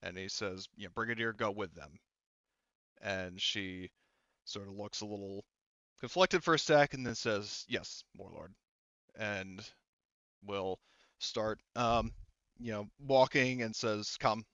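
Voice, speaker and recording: Male game master, slightly low and clean-toned, loose halting narration, very dry sound. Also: fairly bright tone, clear, resonant tone, no echo, muffled recording. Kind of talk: narrating a tabletop roleplaying game.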